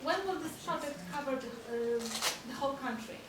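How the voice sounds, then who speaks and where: A woman's voice: sits high, normal speed. A woman from Poland, asking a presenter an earnest, direct question from the audience. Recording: echoey, noisy hall from far off.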